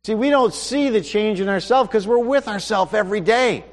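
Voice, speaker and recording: A man with a high voice preaches a sermon, talking to his listeners like a neighbor and asking them one question after another. His voice carries through a hall with a long reverb. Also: normal speed. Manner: conversational preaching with rhetorical questions.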